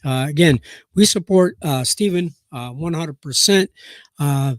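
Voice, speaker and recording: A man from Nebraska hosting a boxing news live stream, hoarse from a cold, reading aloud haltingly with many fillers and restarts. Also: a little high, no room sound, clean studio sound.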